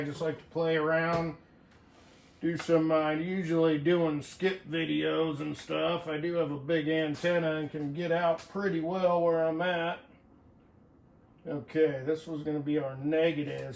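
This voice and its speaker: A man's voice: on the high side, level tone, a little slow, creaky, slight background noise. A man talking casually to viewers in a folksy, unscripted way, thinking aloud as he tinkers through a hands-on demonstration. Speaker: hobbyist CB radio vlogger.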